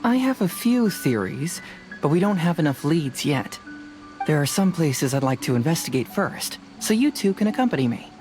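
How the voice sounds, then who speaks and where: A woman's voice: low-pitched, sing-song, normal speed, music in the background. Composed young female investigator. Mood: composed, businesslike. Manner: composed, methodical, matter-of-fact. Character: calm, fearless, analytical young female investigator. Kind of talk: video game voice acting.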